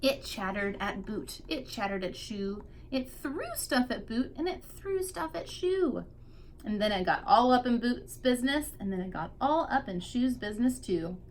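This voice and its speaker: A woman's voice: a little high, lively intonation, moderate pace, slight background noise. A woman teacher reading a picture book aloud expressively to young children, drawing out key words for emphasis.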